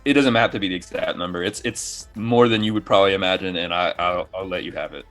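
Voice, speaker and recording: A man's voice: low-pitched, fast, fairly bright tone, soft background music. A man chatting casually and bluntly with plenty of swearing, acting as quizmaster on a podcast. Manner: blunt, profane. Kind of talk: casual group banter.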